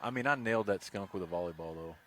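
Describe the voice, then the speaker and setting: A man with an American accent doing live high school football play-by-play, with folksy, joking banter between plays. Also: quick pace. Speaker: play-by-play announcer. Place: outdoors at a football game. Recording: noisy outdoor live sports broadcast. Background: stadium ambience.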